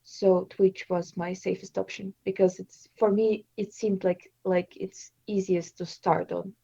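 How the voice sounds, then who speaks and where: A woman's voice: mid-range voice, flat delivery, unhurried, gravelly, dark tone, breathy edge, no room sound, highs cut off. A woman in her twenties from Estonia, a native Russian speaker, answering interview questions in a hesitant way with many fillers. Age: 20s. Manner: hesitant, with frequent fillers and restarts. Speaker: interview guest, streamer.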